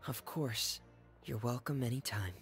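A loyal, somber youth in a video game. He speaks in careful, earnest phrases with a creaky edge, over faint music. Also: mid-range voice, a bit bright. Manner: hesitant, measured, earnest. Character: soft-spoken, somber teenage boy, earnest and loyal.

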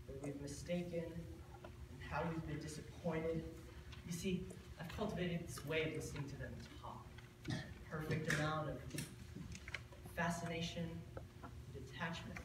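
A man's voice: fairly high pitch, close to an everyday voice. Young man playing a brooding self-styled monster, echoey stage. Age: teen or young adult. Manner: slow, deliberate, dramatic pauses. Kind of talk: theatrical stage monologue. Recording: distant, noisy, very echoey school stage.

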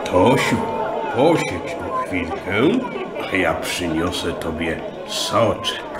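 Man playing a gentle, slow-spoken old grandfather. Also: low voice, animated, over a noisy crowd, heavy background noise, voice pushed down. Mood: kindly. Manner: gentle, patient. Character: kindly old grandfather indulging his little granddaughter. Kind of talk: children's radio play acting. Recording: roomy, music behind.

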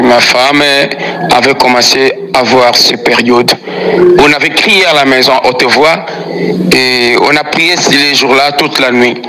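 A man with an average-pitched voice calls in to give a testimony, talking haltingly with fillers. The phone line carries a clear background hum. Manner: hesitant, with fillers. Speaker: caller giving testimony.

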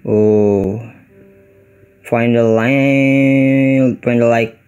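A man's voice: mid-range voice, fairly even intonation, extremely slow, a little mellow, smooth. A young man with an Indonesian accent doing casual, slangy game commentary and mixing in English phrases. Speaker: gaming YouTuber.

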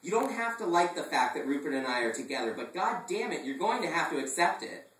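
A man's voice: fairly low pitch, animated. A man voicing a stern, strained father. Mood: furious. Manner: hushed and measured, then bellowing. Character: stern middle-aged father, strained temper. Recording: echoey room, distant mic, faint ambience.

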